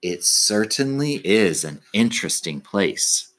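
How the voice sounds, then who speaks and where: A man's voice: on the low side, big pitch swings, slow pace, fairly bright tone, full character voice. A man voicing a polite, wistful old alley cat. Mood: reminiscing.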